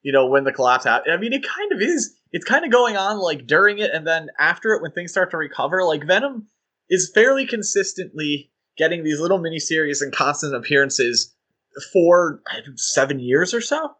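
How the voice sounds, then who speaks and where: A man's voice: a little high, big pitch swings, a little fast, bright, forceful tone. A man co-hosting a podcast, chatting casually and enthusiastically about comics, with frequent fillers and laughs. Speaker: podcast co-host. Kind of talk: casual chat.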